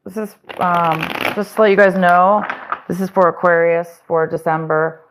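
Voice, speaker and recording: A woman's voice: fairly low pitch, flat delivery, moderate pace, background noise. A woman giving a casual, rambling tarot reading, talking straight to her viewers with fillers and restarts, and saying she is losing her voice. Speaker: tarot reader.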